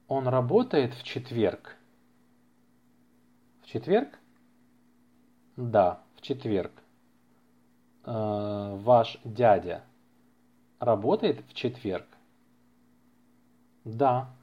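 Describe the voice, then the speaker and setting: Slow, deliberate man teaching a language. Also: medium pitch, mellow. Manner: deliberate, carefully enunciated, repeats phrases for learners. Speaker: language teacher and podcast host. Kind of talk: slow language-lesson podcast reading practice dialogues. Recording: slightly muffled lo-fi podcast recording.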